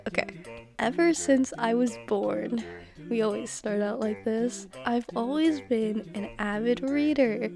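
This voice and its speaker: A teenage girl with a stuffy nose, giving scripted commentary in a chatty, self-mocking, emphatic way. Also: on the high side. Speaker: youtuber. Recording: music playing behind.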